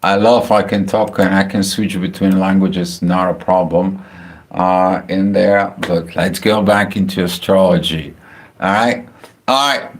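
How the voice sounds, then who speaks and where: A man with a Bulgarian accent talking casually about astrology on a livestream, halting with many filler sounds and restarts. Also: deep voice, steady tone. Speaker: astrology channel host. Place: home, house in Spain.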